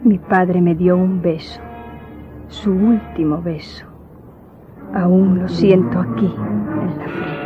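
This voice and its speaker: An actress playing a sorrowful young woman recalling childhood horror, telling it gravely and wistfully in a radio drama. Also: fairly low pitch, fairly even intonation, takes it slowly, long pauses. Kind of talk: Spanish radio drama acting. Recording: dull lo-fi audio, faint music.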